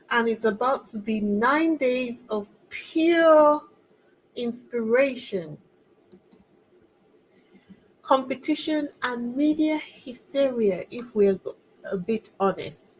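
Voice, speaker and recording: Brief: female channel host, unhurried delivery, higher voice, chatty and wry. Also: low-quality recording. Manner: chatty, sarcastic asides with laughs. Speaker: royal news commentator. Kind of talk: casual chat to viewers.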